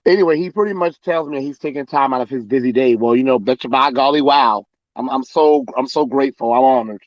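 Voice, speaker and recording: Loud, sarcastic man hosting. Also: mid-range voice, fairly quick, no echo, highs cut off. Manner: sarcastic, talks directly to listeners. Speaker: political podcast host. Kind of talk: casual livestream commentary.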